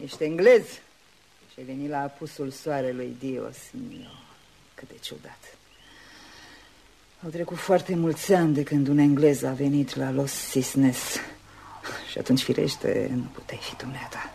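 Wistful, eccentric old señora, noisy recording. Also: female voice, a bass voice, gravelly. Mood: wistful, hushed. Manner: wandering, musing, with little laughs and sudden hushes. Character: eccentric old Spanish señora, melancholy, hospitable, dreamy. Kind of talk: Romanian radio theatre acting.

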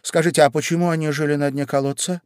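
Man voicing a young girl, polite, curious, raised pitch, earnest, storybook. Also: fairly even intonation, fast, a little mellow, smooth, no echo, clean studio sound, close to an everyday voice. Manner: lightly raised, earnest questioning.

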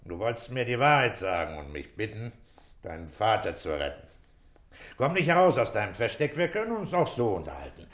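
An actor playing a middle-aged police inspector in a German radio drama, speaking in a calm, coaxing, fatherly and shrewd manner. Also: lowish voice, fairly quick.

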